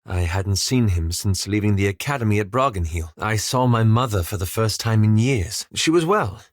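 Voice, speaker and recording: A man playing a courteous, reserved elven wizard in a fantasy game, with formal, articulate and measured delivery. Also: lowish voice, lively intonation, dry recording, clean studio sound, close to an everyday voice. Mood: reflective, sombre. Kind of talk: scripted fantasy game dialogue.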